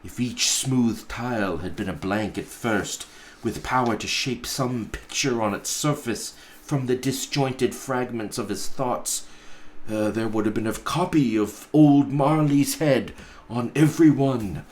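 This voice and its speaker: Man, mid-low voice, very slow pace, dramatic storybook narration, deliberate pauses. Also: darkish tone, slight background noise. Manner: deliberate, theatrical phrasing with pauses. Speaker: pastor reading a story aloud.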